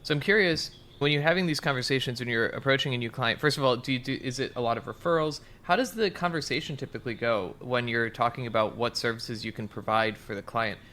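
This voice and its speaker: A man's voice: medium pitch, fast talker, few pauses, pushed and bright, a little hiss or hum. A man hosting an interview podcast, asking long, upbeat questions. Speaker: podcast host.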